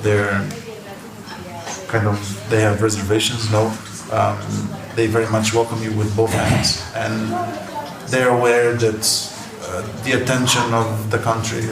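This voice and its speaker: A man's voice: fairly low pitch, flat delivery, a little slow, a crowd in the background. A man explaining things off the cuff as an interpreter at a meeting. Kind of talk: unscripted explanation. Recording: echoey, very noisy field recording.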